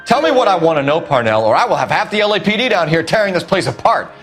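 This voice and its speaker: An actor playing a stern, methodical 1940s police detective, pressing a suspect in clipped lines. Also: male voice, high-pitched, big pitch swings, fast talker, a bit bright. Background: music score.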